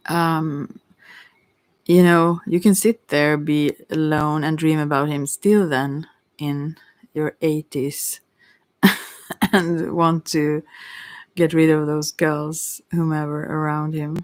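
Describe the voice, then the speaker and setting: A woman with a creaky voice giving an ASMR tarot reading in a hesitant, rambling, casual way, often trailing off. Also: low-pitched, little pitch movement, slow pace, no echo. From Sweden. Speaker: tarot reader, ASMR creator.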